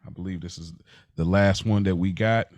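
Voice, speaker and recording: Casual low-pitched man, very dry recording. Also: steady tone, moderate pace, darkish tone, dry recording. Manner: informal, slangy. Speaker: podcast co-host. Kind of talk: casual conversational podcast chat.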